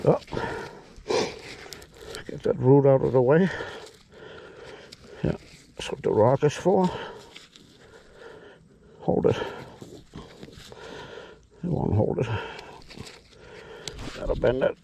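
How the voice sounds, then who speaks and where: A man giving casual running commentary while digging outdoors, muttering to himself in an unhurried way. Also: medium pitch, lively intonation, gravelly, dark tone, slight background noise. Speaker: metal detecting vlogger. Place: outdoors at an old farm house yard.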